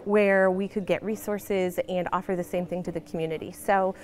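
A woman's voice: on the low side, steady tone, moderate pace. A woman in her forties, the owner of a library space, chatting in a friendly, talkative interview, recorded indoors on a lapel mic. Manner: friendly, talkative, laughs easily. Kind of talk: casual interview. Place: indoor library with coffee shop.

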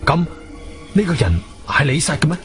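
A man with a Cantonese accent acting a shrewd, firm investigator hero who narrates his own adventure in an expressive audio drama style. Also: on the high side, normal speed, background noise. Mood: calm, probing. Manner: expressive, emphatic storytelling. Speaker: narrator and lead actor. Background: background music.

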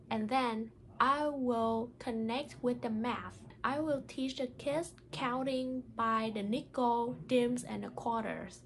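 A woman who speaks English as a second language, talking carefully and haltingly as a teacher doing a read-aloud lesson. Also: on the high side, fairly bright tone, a little hiss or hum, low-quality recording. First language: not English. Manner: careful, halting delivery with stumbles over words. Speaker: teacher reading a picture book aloud.

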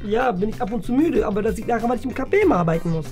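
Man playing high-voiced, exasperated schoolboy. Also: lively intonation, rapid-fire, darkish tone, faint music behind. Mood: explaining. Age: young adult. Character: embarrassed, exasperated teenage schoolboy son. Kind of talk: scripted family comedy sketch. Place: indoors, school corridor and small rooms.

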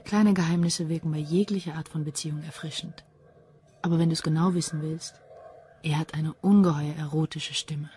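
A woman playing a cool, deadpan police detective in a German crime radio play. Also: low voice, moderate pace, a little hiss or hum, close to an everyday voice. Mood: cool teasing. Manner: dry, deadpan.

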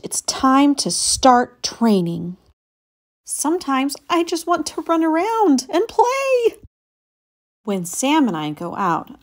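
Woman reading as earnest, slow-spoken storybook puppy. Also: mid-range voice. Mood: happy, then playful. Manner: earnest, childlike, expressive storybook narration. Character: eager young male puppy training as service dog. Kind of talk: storybook read-aloud.

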